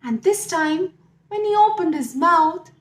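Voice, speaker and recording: A woman telling a story to children expressively, with dramatic, drawn-out emphasis. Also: very high-pitched, lively intonation. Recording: echoey room.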